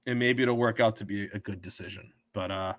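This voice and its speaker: A hoarse man with a sore throat, rambling through his betting picks as a sports show host. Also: on the low side, steady tone, fairly quick, no echo, studio quality. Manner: rambling, thinks aloud with many fillers and restarts. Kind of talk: casual betting picks talk. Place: home.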